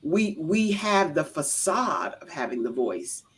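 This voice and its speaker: American woman hosting, medium-low conversational voice. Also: big pitch swings, slow pace, husky. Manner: affirming, repeats phrases for emphasis. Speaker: talk show host. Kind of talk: casual conversational interview.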